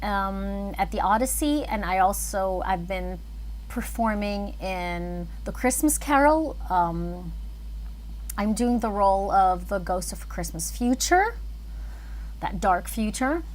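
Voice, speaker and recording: A woman's voice: mid-range voice, animated, takes it slowly, a little mellow, slight background noise, poor audio. A woman chatting casually and thinking aloud as she hosts an animation livestream. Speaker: animator and filmmaker streaming live.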